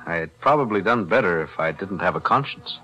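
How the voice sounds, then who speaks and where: An actor playing a calm defense attorney, measured and precise, in 1940s radio-drama style. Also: male voice, sits low, slightly rough, faint music behind, exaggerated voice, poor audio. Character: calm, principled male lawyer.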